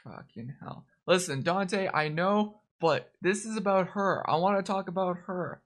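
A woman's voice: on the low side, unhurried. A woman hosting a live stream, chatting casually with a guest, teasing and swearing often. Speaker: streamer host.

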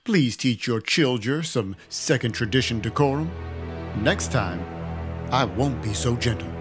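A hardboiled vampire detective, acted by a man, tells his own story in an audio drama. He has a middling male pitch and a wry, cynical, threatening manner, with music underneath. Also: lively intonation, a little slow, natural voice. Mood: grim, menacing. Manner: wry, menacing, profane. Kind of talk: first-person noir audio drama narration. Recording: music behind the voice.

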